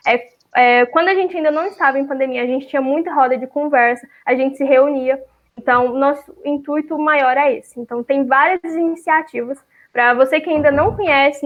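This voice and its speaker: Brazilian woman, event host, high voice, muffled lo-fi call audio, chatty with fillers. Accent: Brazilian Portuguese. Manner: chatty, many fillers and restarts. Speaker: event host, chapter leader. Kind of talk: live online event introduction. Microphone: video call.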